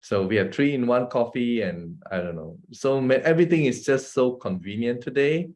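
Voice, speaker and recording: A man's voice: mid-range voice, animated, normal speed, a little mellow. A man, a doctor giving an informal health talk, chatty and constantly asking his listeners "right?" and chuckling between points. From Malaysia. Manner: chatty, often asks the audience "right?" and "guess what?".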